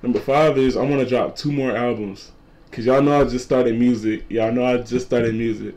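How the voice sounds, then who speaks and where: A twenty-year-old man with a deep voice, a content creator talking casually to camera and repeating his points. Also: fairly even intonation, moderate pace, a little mellow. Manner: repetitive, laid-back slangy talk, repeats lines. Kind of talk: casual vlog talk to camera.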